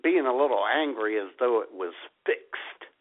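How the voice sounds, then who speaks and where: A man's voice: mid-range voice, animated, normal speed. A man co-hosting a casual internet radio show, teasing his partner like a playful sidekick. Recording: tinny phone-line internet radio audio.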